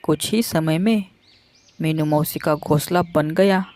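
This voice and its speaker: A woman reading scripted narration for a children's animated story. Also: sits low, a little slow, slight background noise.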